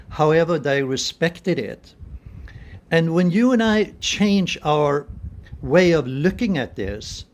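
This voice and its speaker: Slow-spoken older man, higher-pitched. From Sweden. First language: Swedish. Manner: deliberate, pausing, earnest. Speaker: spiritual vlogger. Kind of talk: reflective spiritual talk to camera. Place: small room indoors. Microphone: phone at arm's length.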